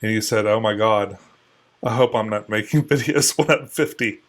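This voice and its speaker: A man in his forties, a guest on an interview show, answering in a relaxed, hesitant way with many fillers. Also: on the low side, normal speed. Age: 40s. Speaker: guest, longtime video maker. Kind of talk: casual interview chat.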